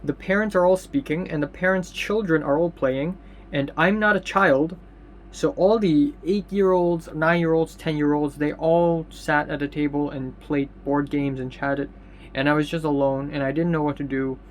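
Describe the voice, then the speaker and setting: A young man talks through his day in a loose, rambling video diary, his voice a touch higher than most and slightly raspy. He stops often on ums and restarts. A faint hiss sits under the recording. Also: steady tone, normal speed, low-quality recording. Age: young adult. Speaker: vlogger.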